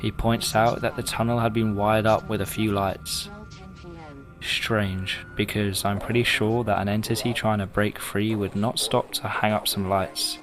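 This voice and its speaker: A man narrating a scripted horror analysis video in a measured way, with pauses for effect. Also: lowish voice, level tone. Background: music.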